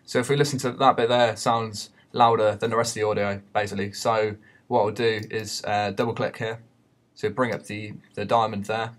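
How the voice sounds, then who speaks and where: Male tutor, lowish monotone voice, hesitant, talking through an unscripted screen-recorded lesson. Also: a little fast. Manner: hesitant, explanatory. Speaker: media teacher giving a software tutorial.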